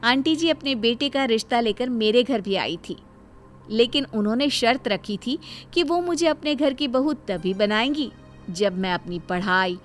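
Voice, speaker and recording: A woman's voice: a little high, animated, unhurried. A woman voicing a self-assured young working daughter-in-law in a story, polite but firm and moralising. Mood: polite, frank. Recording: music behind the voice.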